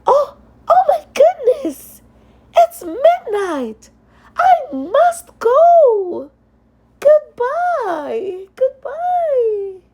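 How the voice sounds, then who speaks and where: A woman's voice: very high-pitched, huge pitch swings, drawn-out words, darkish tone, a little hiss or hum, pitched above the performer's own voice, heavily acted. A woman voicing a sweet, anxious young maiden in a storybook read-aloud, pleading and exclaiming tearfully. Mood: panicked, hurried.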